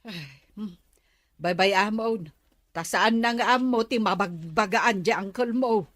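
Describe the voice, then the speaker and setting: A woman's voice: medium pitch, unhurried, a bit bright, dry recording. A woman playing a nagging, disapproving villager in an Ilocano comedy drama.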